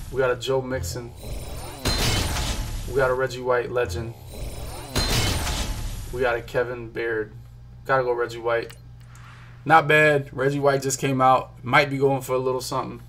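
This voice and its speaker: A man's voice: medium pitch, level tone, moderate pace, long pauses, background noise. Chatty young man hyping pack pulls. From Philadelphia. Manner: chatty, hyped, talks to chat while clicking. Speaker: gaming streamer. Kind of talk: casual pack-opening commentary. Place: small room at home.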